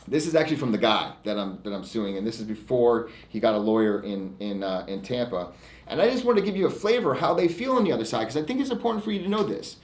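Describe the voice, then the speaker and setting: American male lawyer-host, mid-pitched, defiant and emphatic, halting informal delivery to camera, roomy echo. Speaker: lawyer hosting his own online segment. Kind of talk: informal monologue to camera, reading out e-mails. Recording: echoey room.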